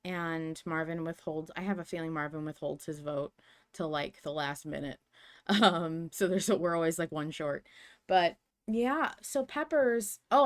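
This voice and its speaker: A young woman with a lowish voice talks casually into a home desk mic. She is chatty and quick to restart her own sentences, with bursts of laughter between thoughts. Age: young adult. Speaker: podcast co-host. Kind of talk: casual podcast chat.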